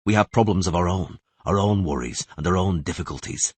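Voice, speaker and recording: A man's voice: deep voice, a little fast, husky, dry recording. A man voicing a stern, guarded monster hunter and patient mentor in a fantasy audiobook. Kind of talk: audiobook character voice.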